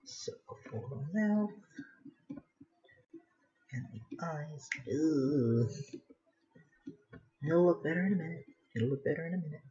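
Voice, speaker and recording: Slow-talking woman, casual and musing. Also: deep voice, lively intonation, warm tone, dull audio. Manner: musing to self, unhurried. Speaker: artist hosting a live drawing session. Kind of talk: casual chat while sketching.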